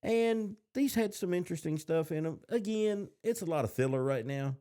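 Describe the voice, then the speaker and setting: A man's voice: high voice, animated, normal speed, dark tone, clear, resonant tone. A man co-hosting a podcast, chatting casually and bantering about a television show. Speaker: podcast co-host. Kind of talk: casual banter and TV episode discussion.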